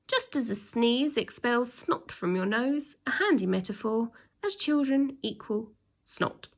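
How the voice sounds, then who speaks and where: A woman voicing a pompous, tyrannical male headmaster reading out stern rules from a children's storybook, with emphatic, drawn-out delivery. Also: mid-range voice. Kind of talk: children's storybook read-aloud.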